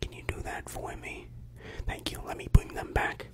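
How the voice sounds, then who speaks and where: A young man whispering ASMR into a very close microphone, confiding and playfully coaxing the listener. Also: normal speed. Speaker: ASMR creator. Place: bedroom indoors. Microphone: large desktop microphone, very close.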